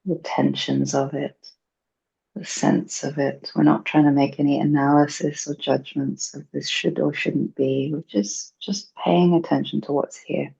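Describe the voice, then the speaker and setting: A woman leading a guided meditation in a gentle, hushed, soothing and unhurried way. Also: deep voice, flat delivery, vocal fry, husky. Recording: dull, muffled lo-fi recording.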